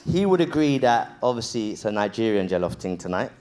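A man's voice: fairly low pitch, big pitch swings, brisk, darkish tone. A man introducing guests on stage, playful and joking, tripping over names. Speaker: event host. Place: stage before a live audience.

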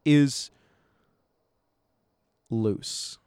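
A man's voice: extremely slow, no echo, clean studio sound. A man chatting casually, telling laid-back travel stories and briefly acting out exchanges. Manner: relaxed storytelling, acts out short exchanges. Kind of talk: casual chat.

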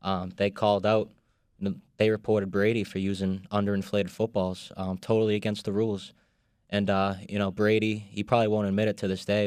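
A man's voice: lowish voice, darkish tone, no room sound, clean studio sound. A young man with a Boston accent, a podcast guest telling a story with many ums and restarts. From Boston area. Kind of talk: casual podcast chat.

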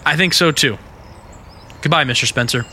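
An actor playing an earnest, plainspoken young American detective in love, in vintage radio drama style. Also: male voice, fairly high pitch, moderate pace, pushed and bright, slight background noise. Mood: polite, cheerful.

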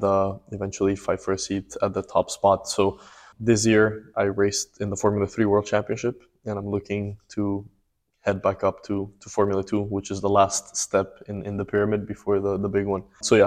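A man in his twenties, born in Ecuador and raised in Miami, answering interview questions calmly and matter-of-factly. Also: on the low side, normal speed. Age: 20s. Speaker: guest, race car driver.

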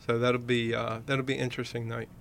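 A man's voice: mid-range voice, little pitch movement, quick pace, gravelly, a bit bright, a little hiss or hum. A man hosting a radio show who rambles in casual chat, full of fillers like "you know". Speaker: radio host. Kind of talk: casual radio chat promoting upcoming shows.

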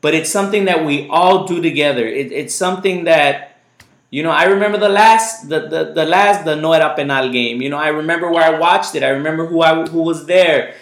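A man's voice: on the high side, fairly quick. Casual man hosting, echoey room. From United States. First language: Spanish. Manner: relaxed, rambling, repeats words. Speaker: podcast host. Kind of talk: casual podcast chat.

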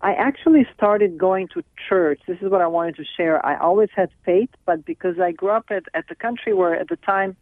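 A middle-aged woman from Serbia, speaking as a guest over the phone in an earnest, halting way with many restarts. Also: lowish voice, dry recording. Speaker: film director and guest. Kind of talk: phone interview. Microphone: telephone. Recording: tinny dry phone line.

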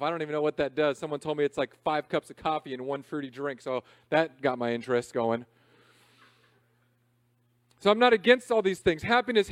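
A man in his thirties preaching a sermon in a conversational, self-deprecating way, swinging between loud emphasis and quiet asides. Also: extremely high pitch, dry recording, studio quality. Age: 30s. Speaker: pastor.

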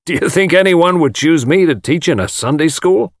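A man's voice: fairly high pitch, animated, normal speed, no room sound, studio quality, pitched above the performer's own voice. A man voicing a blunt, bantering male adventurer talking like an old friend in an audiobook. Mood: persuasive. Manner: blunt, chummy. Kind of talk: audiobook character voice.

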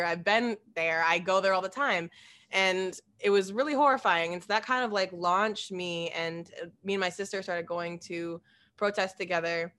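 A woman's voice: medium pitch, sharp tone. A woman in her twenties from Des Moines, a council candidate telling her story in a chatty way full of "like" and laughter. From Des Moines, Iowa. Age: 20s. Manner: chatty, laughs often, many "like" fillers. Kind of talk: casual interview answers.

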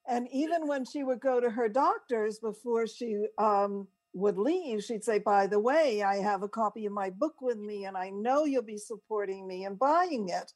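A woman's voice: mid-range voice, moderate pace, hardly any pauses, a little mellow. An older woman co-hosting a radio show, chatting and telling stories casually in a rambling, easily sidetracked way. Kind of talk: casual chat and storytelling.

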